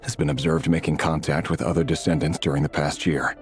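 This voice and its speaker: An actor playing a grim, dutiful dynasty official in a video game, speaking in a clipped, formal, deliberate way. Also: male voice, extremely low pitch, fairly even intonation, creaky. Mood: cold, clinical. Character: grim dutiful male dynasty official, coldly self-justifying, uneasy. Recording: loud music behind. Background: loud background music.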